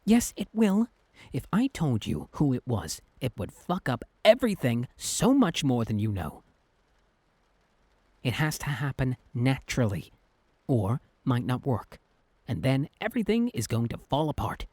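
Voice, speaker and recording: A man's voice: mid-range voice, very animated, unhurried, a little mellow, husky, no echo. A man playing a possessive, exasperated young Cupid who is secretly lovesick, in a conversational, emphatic boyfriend roleplay audio drama. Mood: annoyed, frustrated. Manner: conversational, exasperated, emphatic, hesitant when flustered.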